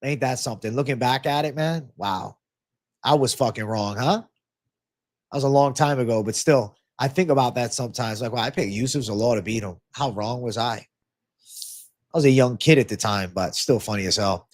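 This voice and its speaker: A man with a mid-pitched voice that sounds a bit clogged from a stuffy nose hosts a casual livestream. He talks in a loose, slangy way, drops the odd curse and calls his viewers bro as he answers their comments. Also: normal speed. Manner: laid-back, slangy, swears freely, calls viewers bro. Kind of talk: casual livestream Q&A on fight betting.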